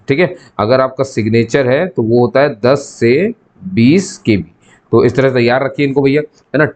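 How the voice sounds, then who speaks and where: A man's voice: mid-range voice, darkish tone. A man giving an informal explainer to viewers as a channel host, instructive, repeating key points and posing questions that he then answers.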